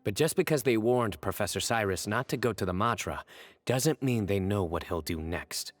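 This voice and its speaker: An actor playing a stern, composed young male chief investigator in a video game, speaking in a measured, authoritative way. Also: fairly low pitch, lively intonation, no echo, studio quality, lightly acted. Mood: thoughtful.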